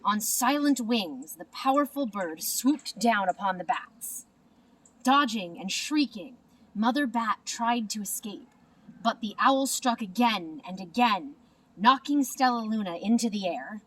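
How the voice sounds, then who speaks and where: A woman narrating a children's storybook in an expressive, deliberate manner. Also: mid-range voice, far from the mic, low-quality recording. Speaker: storybook reader.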